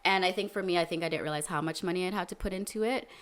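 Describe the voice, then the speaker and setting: A woman speaks in a low register at a rapid clip. She is an interview guest, frank and informal, chatting through her points in conversational phrasing with frequent small check-ins. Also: a bit bright. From Netherlands and Canada. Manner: candid, informal, frequent "like" and tag questions. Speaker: interview guest, community group founder.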